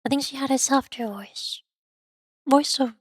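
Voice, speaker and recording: High-pitched streamer girl, voice going hoarse, rambly and self-pitying. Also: normal speed, no echo, studio quality. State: losing her voice, hoarse. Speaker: VTuber streamer. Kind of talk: casual game stream chat.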